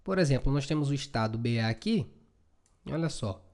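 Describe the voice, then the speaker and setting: A man's voice: medium pitch, animated, brisk, a little mellow. A Brazilian man giving an informal, chatty coding tutorial, often checking in with short tag questions. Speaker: programming instructor.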